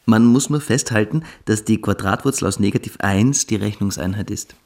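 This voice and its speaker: A man's voice: fairly low pitch, normal speed, darkish tone, close to an everyday voice. A man playing a superior, self-assured cadet in a radio drama, assertive and matter-of-fact.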